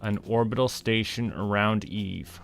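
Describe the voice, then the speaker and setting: Slow, low-pitched Canadian man thinking aloud. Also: steady tone, vocal fry, soft background music. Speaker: game streamer. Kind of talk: casual solo gameplay commentary, thinking aloud.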